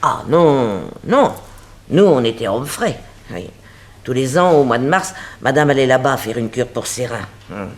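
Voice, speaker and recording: An actor playing a flustered, gossipy household servant in a 1960s French radio drama, hesitant, stammering and colloquial. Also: male voice, medium pitch, expressive, measured pace, close to an everyday voice. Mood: chatty.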